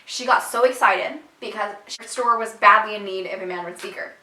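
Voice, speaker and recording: A young woman telling her own story to her viewers in a casual, animated and emphatic way. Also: mid-range voice, a little fast, reverberant, distant mic, rough recording. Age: young adult. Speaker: vlogger.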